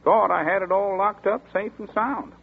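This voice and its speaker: An actor playing a chatty small-town sheriff's deputy who talks in a folksy rural dialect, in 1940s radio acting. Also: male voice, sits high, steady tone, moderate pace, vocal fry, slightly rough, full character voice. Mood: gruff. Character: folksy drawling small-town male deputy, middle-aged. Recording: tinny scratchy 1940s radio broadcast.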